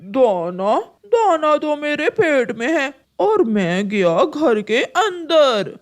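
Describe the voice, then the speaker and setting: Woman as a slow, high-voiced sly cartoon crow. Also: big pitch swings, full character voice. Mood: smug. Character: lazy, sly male crow, wheedling schemer.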